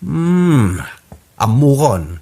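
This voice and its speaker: A man plays a scheming old husband next door in an Ilocano radio comedy. His Filipino voice has an ordinary male pitch. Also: very animated, very slow, vocal fry, warm tone, heavily acted.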